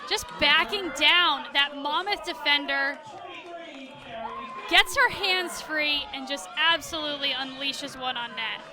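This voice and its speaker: A woman's voice: high voice, unhurried. A woman giving analytical sports commentary as a color commentator, explaining the play. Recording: loud crowd and music behind. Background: game crowd and music.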